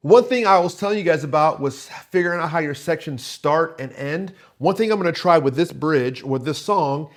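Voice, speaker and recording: A man's voice: fairly high pitch, animated, normal speed, hardly any pauses. A man hosting a casual songwriting tutorial, talking to viewers in a conversational, encouraging and explanatory way. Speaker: host, worship songwriting teacher.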